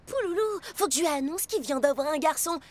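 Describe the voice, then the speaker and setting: Very high-pitched, cheeky cartoon boy. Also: expressive, fairly quick, darkish tone. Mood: dramatic, cheeky. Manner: exclamatory, cheeky, dramatic. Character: brash, impulsive young cartoon boy, cheeky and excitable. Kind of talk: animated cartoon dub.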